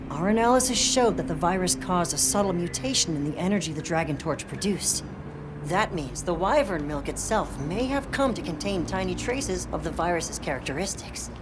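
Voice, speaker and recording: A male field researcher in a game cutscene. He is curious and analytical, laying out ideas thoughtfully. Clear ambient noise sits behind his voice. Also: high voice. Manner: explanatory, thoughtful. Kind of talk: video game cutscene acting.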